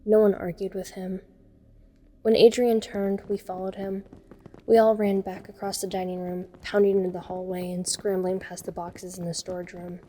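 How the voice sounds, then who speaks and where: A woman's voice: medium pitch, level tone, moderate pace, warm tone, slight background noise, close to an everyday voice. A woman playing a frightened young woman, narrating a scripted first-person horror story. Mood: tense. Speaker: voice actor narrating a fan audio drama.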